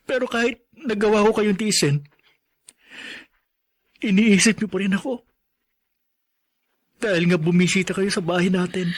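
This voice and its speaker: A man playing a remorseful young husband and new father in a drama, earnest, respectful and apologetic. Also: high-pitched. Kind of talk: melodramatic drama acting.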